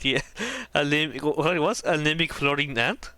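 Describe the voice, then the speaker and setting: A man's voice: fairly high pitch, steady tone, normal speed, a crowd in the background. A man doing casual, playful game commentary as a streamer, repeating words as he reacts. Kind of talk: casual playthrough commentary, reading some dialogue aloud.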